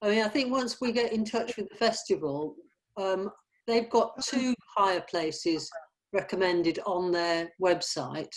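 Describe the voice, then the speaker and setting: A woman's voice: mid-range voice, a little slow, low-quality recording. A woman in an informal production meeting, talking tentatively as she thinks through practical plans.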